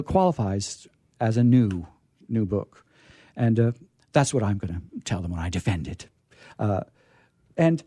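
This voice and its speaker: An American man hosting a radio show, chatting casually and enthusiastically about books in a halting, discursive way with emphatic repetition. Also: mid-range voice, warm tone, no room sound, studio quality. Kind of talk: casual book chat.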